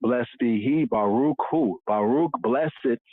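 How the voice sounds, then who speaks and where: A man of middle pitch gives a loose, rambling religious talk, circling back over the same phrases and weaving in Hebrew words. The sound is thin and narrow like a phone line. It is dry, with no room echo. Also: slow pace, breathy edge. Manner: rambling, repeats phrases, mixes in Hebrew terms. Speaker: religious commentator. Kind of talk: informal religious teaching talk.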